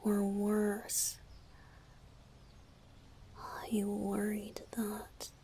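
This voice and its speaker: A woman voicing a tender, playful young male bard spirit in a soft, breathy whisper for a romantic ASMR roleplay, speaking in a halting, heartfelt way. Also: mid-range voice, monotone, a little hiss or hum. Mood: tender, confessional.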